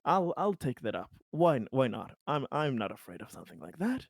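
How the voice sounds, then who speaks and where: A man improvising a glib, self-assured political schemer in a roleplaying game, halting and repeating himself as he placates people. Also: mid-range voice, very animated, gravelly, dry recording, studio quality. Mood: blustering, amused.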